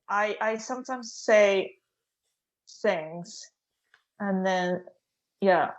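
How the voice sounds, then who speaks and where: A woman whose first language is Japanese, chatting on a podcast as a comedian guest, halting and laughing often. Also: mid-range voice, dull audio. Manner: hesitant, halting phrasing with frequent laughs. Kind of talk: casual podcast chat.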